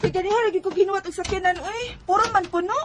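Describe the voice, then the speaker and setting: A woman acting in a Bisaya radio drama as a trusting young provincial sweetheart with a Cebuano Filipino accent and dramatic, drawn-out replies. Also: extremely high pitch, fairly even intonation, heavy background noise. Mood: shy, apologetic.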